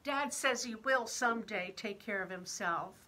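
A woman's voice: mid-range voice, moderate pace, bright, forceful tone, natural voice. A woman reading a picture book as a jealous, indignant eight-year-old, with whiny, emphatic complaints. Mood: exasperated. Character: jealous, indignant eight-year-old third grader griping about younger brother.

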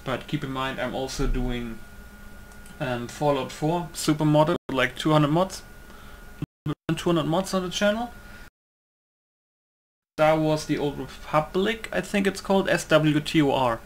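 A man's voice: a little high, unhurried, slight background noise. A man doing casual, rambling let's-play commentary, often interrupting himself as he goes. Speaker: gaming YouTuber.

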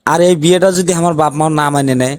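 A man's voice: a little high, fast, close to an everyday voice. A man voicing an anxious, broke young village boyfriend in a comedy cartoon, with a North Bengal accent and a whining, exasperated way of talking. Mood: exasperated, worried. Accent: North Bengal Bangladeshi. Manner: whining, exasperated comic complaining.

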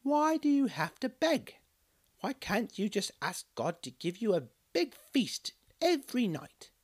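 A man's voice: sits high, very animated, a little slow, voice pushed up, exaggerated voice. A man doing a curious little village boy. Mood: insistent.

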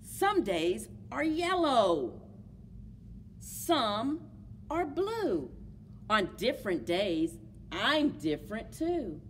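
A middle-aged woman leads story time for small children in a high, very lively voice. She takes her time with each phrase, encouraging and animated. The recording is made indoors with noticeable background noise. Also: extremely slow. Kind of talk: children's story time, sing-along and read-aloud. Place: indoors among library bookshelves. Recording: clear background noise, room ambience.

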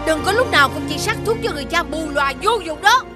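A woman's voice: very high voice, animated, fast, music in the background. A woman voicing a vain, spiteful old witch in a children's cartoon, speaking in a gloating, imperious manner. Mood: bossy, scornful. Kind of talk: children's cartoon villain acting.

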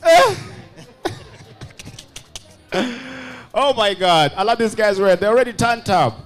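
Animated Ugandan man hosting a party. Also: high voice, takes it slowly. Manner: playful, teasing, switches into Luganda. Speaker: event host interviewing guests. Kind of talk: lively party vox pop. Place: crowded nightclub launch party. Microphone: handheld microphone. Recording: party music and crowd behind.

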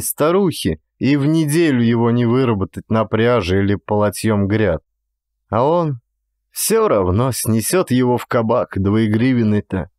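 A man reading a classic story as an actor-narrator, with expressive scripted delivery. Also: medium pitch, no echo, clean studio sound. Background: music.

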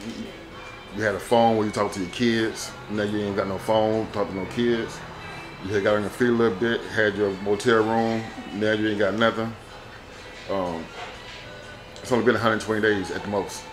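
A man doing a casual street interview, recapping and questioning in a halting, repetitive way. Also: lowish voice, fairly even intonation, music in the background, rough recording.

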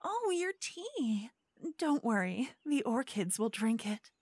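A woman's voice: a little high, sing-song, slow, no echo, voice pushed up, exaggerated voice. A woman playing a pushy suitor from a wealthy family in a fantasy audio roleplay, coaxing and over-attentive with false concern. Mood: falsely concerned.

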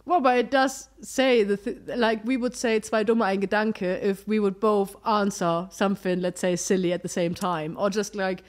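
A woman's voice: medium pitch, steady tone, normal speed, few pauses. A young woman from Germany with a German accent, co-hosting a casual podcast and playfully quizzing her partner, laughing often. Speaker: podcast co-host. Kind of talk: casual chat.

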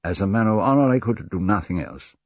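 A man's voice: on the low side, expressive, a little fast, dry recording, voice pushed up. A man voicing a suave Italian doctor in an audiobook, ceremonious, self-righteous and stiffly polite. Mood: earnest. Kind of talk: audiobook character dialogue.

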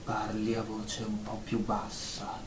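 A woman's voice: extremely low pitch, monotone, slow. A woman acting a frail, fussy patient full of ailments in a radio drama, complaining plaintively. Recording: echoey room, faint noise.

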